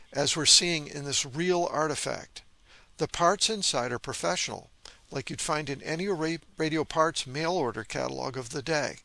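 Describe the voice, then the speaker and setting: A man giving an informal technical explanation in a measured way, leaning on key words as he presents. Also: mid-range voice, creaky, bright, forceful tone, no room sound. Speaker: presenter of a vintage ham radio channel.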